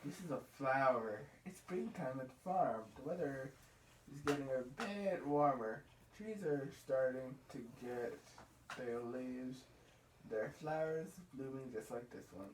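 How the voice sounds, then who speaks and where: A young male puppeteer voicing a friendly, kindly bear cub puppet, reading children's show lines haltingly. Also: medium pitch, natural voice. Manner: halting read-aloud delivery. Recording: noisy, echoey room, distant mic.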